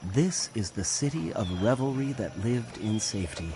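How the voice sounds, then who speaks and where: An actor playing a grave prophet, narrating scripture dramatically in a solemn, declamatory way. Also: male voice, lowish voice, lively intonation, normal speed, background noise. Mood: grave, condemning. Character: grave male prophet proclaiming doom and hope.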